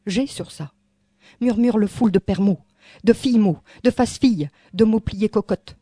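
A woman's voice: medium pitch, moderate pace, husky, no room sound. Woman reciting poetry, close and dry. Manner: incantatory, repetitive phrasing swinging between hushed and forceful. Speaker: poet. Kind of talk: dramatic spoken-word poetry recital.

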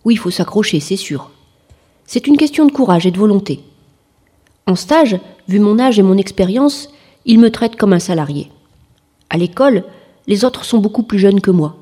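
A woman's voice: medium pitch, animated, moderate pace, pauses often, dark tone, breathy edge. A woman in her late twenties giving plain interview testimony in short sentences. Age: late 20s. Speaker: interviewee, industrial technician returning to school.

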